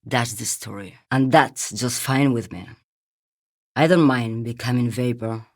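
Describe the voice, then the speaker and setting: Game role: world-weary female driver, very low androgynous voice, haunted and musing, recorded dry and close. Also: a little mellow, no echo. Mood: resigned, dreamy. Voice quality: very deep, androgynous for a woman. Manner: slow-musing, cryptic, poetic. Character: weathered, world-weary woman long-haul driver, dreamy and haunted. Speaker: video game voice actor. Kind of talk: dramatic game character monologue.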